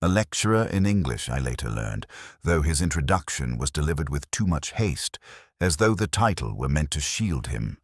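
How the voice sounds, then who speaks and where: A man reading a scripted first-person detective story in a measured, literary and brooding manner. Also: extremely low pitch, big pitch swings, dry recording, clean studio sound. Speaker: narrator.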